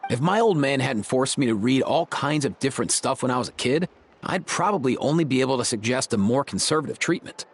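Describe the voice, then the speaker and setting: A man's voice: medium pitch, lively intonation, brisk, husky. A man voicing a laid-back, friendly young vet in a video game, talking in casual bro slang. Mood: relaxed, earnest. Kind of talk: video game character dialogue.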